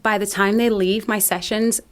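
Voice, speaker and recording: A woman's voice: mid-range voice, steady tone, moderate pace. A woman talks about herself in a radio studio. She is earnest and personal, often restarting her sentences as she goes. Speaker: guest, founder of a wellbeing company. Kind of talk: radio interview.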